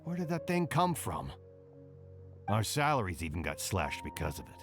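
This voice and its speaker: A man's voice: fairly low pitch, wildly swooping pitch, normal speed. A man playing an exasperated guard in a video game, grumbling in an incredulous, emphatic way. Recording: game music clearly behind voice.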